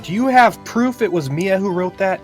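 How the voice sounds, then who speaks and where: Man acting young defense attorney, video game, earnest, questioning, animated intonation, music underneath. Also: high-pitched, moderate pace. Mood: challenging. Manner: plain-spoken, questioning. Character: earnest, uneasy young male defense lawyer.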